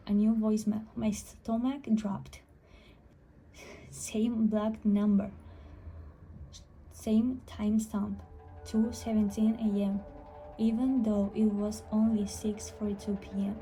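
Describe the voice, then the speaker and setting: Dark-voiced woman narrating horror. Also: mid-range voice, low-quality recording. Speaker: storyteller. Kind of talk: scripted horror story narration.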